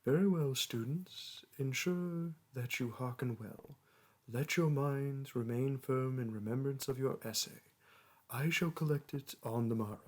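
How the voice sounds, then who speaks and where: A haughty young dragon who teaches human students, acted out by a man for a roleplay audio. He has a medium-pitched male voice and speaks in lofty, archaic language. His manner is pompous and disdainful, treating the listener as a lesser creature. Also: unhurried, darkish tone, lightly acted. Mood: solemn lecturing.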